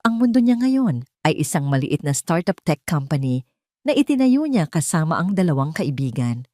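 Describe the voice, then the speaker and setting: A woman's voice: fairly low pitch, darkish tone, no echo, studio quality. A woman with a Filipino accent narrating a scripted dramatic story.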